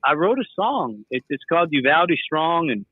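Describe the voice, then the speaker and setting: Tinny-sounding man telling animated stories. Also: fairly high pitch, moderate pace, breathy edge, no room sound. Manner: animated, quotes people in his stories. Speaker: guest musician. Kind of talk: casual storytelling.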